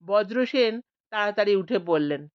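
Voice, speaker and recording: A woman's voice: medium pitch, lively intonation, normal speed, clean tone, no echo, muffled recording. A woman narrating a scripted audiobook story, voicing the village characters expressively.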